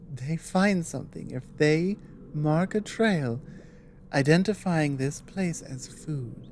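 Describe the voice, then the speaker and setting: A woman playing an earnest, apologetic female dragon in an ASMR audio roleplay, explaining things hesitantly in a soft voice. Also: low voice, a little hiss or hum. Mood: explaining, measured. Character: earnest, apologetic female dragon warning a human ally of war.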